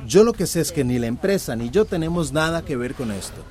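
A man's voice: fairly high pitch, expressive, a little fast, darkish tone, close to an everyday voice. An actor playing a stubborn, defensive young man in a radio drama, dismissive and emphatic. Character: defensive, stubborn grown son loyal to company.

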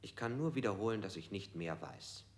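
An actor playing a bound, beaten male prisoner in a radio drama, talking in a halting, bitter, musing way. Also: fairly low pitch, expressive. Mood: weary, defeated.

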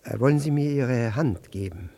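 An actor in a German radio play playing a pompous, easily offended older gentleman with a husky voice and a formal, stammering manner. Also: mid-range voice, animated, moderate pace. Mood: awkwardly polite. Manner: formal, indignant, stammering, fussily polite.

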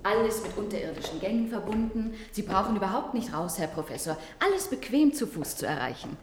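An actress playing a polite, breezy attendant at an eerie clinic in a German radio play, courteous and reassuring. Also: female voice, mid-range voice, expressive, a little fast, background noise, natural voice. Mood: polite, chirpy.

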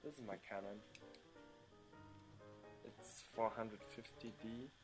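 A young man from Germany answering interview questions casually, with many pauses and ums and playful outbursts. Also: fairly low pitch, music in the background. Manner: hesitant, many ums, bursts into playful loud laughter. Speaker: interview guest.

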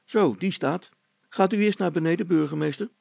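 A man's voice: sits high, normal speed, gravelly, a little mellow, dry recording, pitched above the performer's own voice, full character voice. A man voicing an eager, polite and helpful village boy in an audiobook story.

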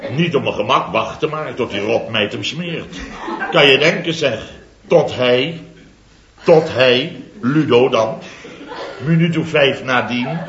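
An actor playing a boastful middle-aged contractor, telling a comic story in a theatrical, chatty way for a 1970s radio comedy serial. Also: male voice, fairly high pitch, reverberant, muffled recording.

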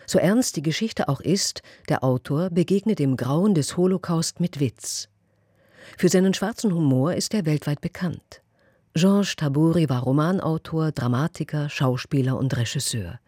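A male presenter reading a scripted radio introduction. His voice sits a little high for a man, with a very soft, mellow tone and a husky grain in a dry studio sound. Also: no echo.

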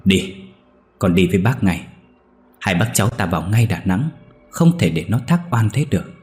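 A man's voice: sits low, moderate pace, mellow, faint music behind, close to an everyday voice. A man voicing a sorrowful, stern old uncle with a trembling, mournful voice. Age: older.

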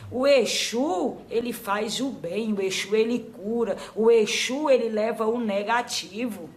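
A woman acting as a medium for an old grandmother spirit, giving slow, repetitive spiritual advice that trails off softly. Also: a little high, lightly acted. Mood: counseling. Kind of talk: spiritual counseling.

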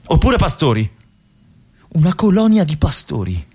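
An actor playing a lonely, obsessive army lieutenant in a stage monologue, musing aloud and swinging between brooding and feverish. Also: male voice, fairly high pitch, huge pitch swings, moderate pace, darkish tone, slightly rough, exaggerated voice. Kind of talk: theatrical monologue for radio.

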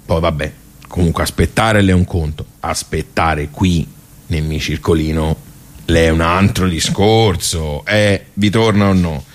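An actor playing a nostalgic, grumbling old social-club regular in a radio soliloquy, with a Tuscan accent and a colloquial, halting, rambling delivery full of rhetorical asides. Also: male voice, low voice, fairly even intonation. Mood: nostalgic, indignant. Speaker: stage actor. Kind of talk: theatrical radio soliloquy, conversational.

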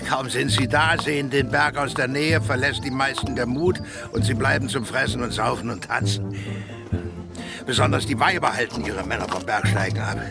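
An actor playing a proud, blunt old mountain guide in a German radio drama, with a grumbling manner. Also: male voice, mid-range voice, normal speed, gravelly, lightly acted. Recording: loud music behind the voice.

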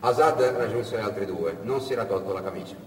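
A man narrating a tale theatrically, with emphatic delivery and dramatic pauses. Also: on the low side. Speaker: actor and storyteller. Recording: echoey hall, distant mic, faint noise.

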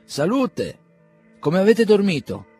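Rich: A fussy old country doctor, full of flowery courtesy, who breaks into stammers when he gets nervous, as a male reader puts him on. He pitches his voice a touch higher and louder than plain narration. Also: lively intonation, normal speed, faint music behind, natural voice. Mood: hearty. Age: older. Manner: effusive, stammering, ceremonious.

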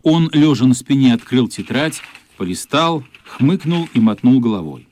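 A man's voice: medium pitch, lively intonation, normal speed, a little mellow, slight background noise. A man reading scripted narration for a radio play. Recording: dry studio, music behind.

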